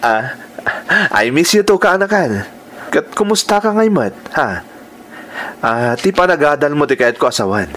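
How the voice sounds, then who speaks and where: A man's voice: a little high, very animated, measured pace, a bit bright, slight background noise. An actor with a Filipino accent playing a kindly, fatherly uncle in a radio drama. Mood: affectionate. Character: kindly, fatherly uncle giving gentle advice.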